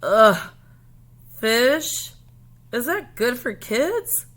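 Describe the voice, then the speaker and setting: A woman voicing an earnest, determined but easily frustrated young schoolgirl in a storybook, with emphatic, expressive delivery. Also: a little high, very slow, pitched above the performer's own voice, heavily acted. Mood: disgusted.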